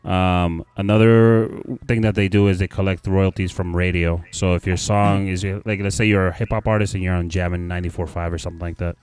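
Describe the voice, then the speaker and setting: A man's voice: sits low, level tone, fairly quick, hardly any pauses, darkish tone, clean tone. A man hosting a podcast, chatting in an easygoing way and explaining things with examples. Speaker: podcast host. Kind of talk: casual podcast chat.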